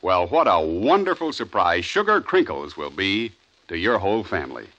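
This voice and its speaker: Animated, bright-voiced radio ad man. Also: low voice, moderate pace, highs cut off. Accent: American. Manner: chummy, emphatic salesmanship. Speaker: radio commercial announcer. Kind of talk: 1950s radio cereal ad pitch.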